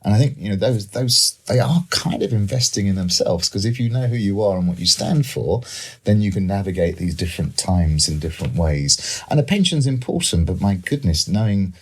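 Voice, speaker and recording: A man's voice: lowish voice, lively intonation, a little fast, breathy edge. A middle-aged man with a British accent explaining ideas as an expert guest in a panel discussion, reflectively and with examples. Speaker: economist and author, panel guest.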